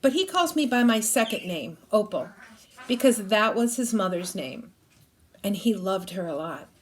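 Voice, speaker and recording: A woman's voice: medium pitch, animated, normal speed, a little hiss or hum. A woman reading a children's novel aloud expressively, like a teacher reading to a class.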